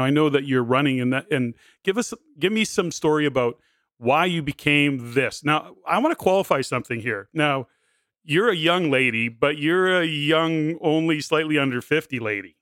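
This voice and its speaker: Host: man, chatty, self-joking interviewer, mid pitch, dry close mic. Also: fairly bright tone, no echo. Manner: chatty, jokes at himself. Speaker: podcast host. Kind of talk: conversational interviewing.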